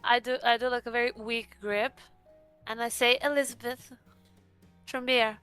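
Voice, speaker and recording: Bright, clear-voiced woman playing a tabletop game. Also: on the high side, fairly even intonation, normal speed, faint music behind. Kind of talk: casual roleplay chat.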